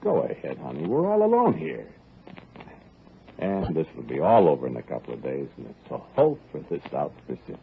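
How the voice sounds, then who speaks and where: A gruff, dismissive man on the run, very low voice, tinny old radio recording with hiss. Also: wildly swooping pitch, a little fast, exaggerated voice. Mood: coaxing. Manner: gruff, dismissive, brushes off fears. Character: hard, impatient man on the run with his girl. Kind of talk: 1940s radio acting.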